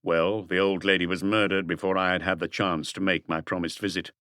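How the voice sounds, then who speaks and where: A man voicing a shrewd police detective in an audiobook, speaking in a quiet, casual, measured way. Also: sits low, level tone, no room sound, studio quality. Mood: calm, probing. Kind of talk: audiobook character dialogue.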